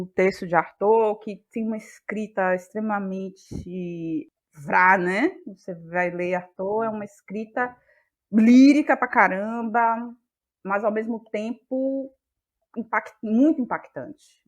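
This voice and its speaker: A woman's voice: medium pitch, slow pace. A woman, an interview guest explaining in a searching, conversational way and repeating phrases for emphasis. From Bahia, Brazil. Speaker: interview guest, playwright and actress.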